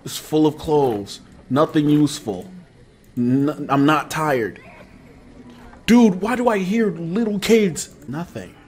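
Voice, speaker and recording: A man's voice: fairly high pitch, expressive, takes it slowly, a little hiss or hum. A young man streaming a horror game live, talking nervously to his chat with sudden yelps and laughs. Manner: jumpy, nervous, bursts of loud yelps and laughter. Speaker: gaming streamer. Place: small room with posters behind.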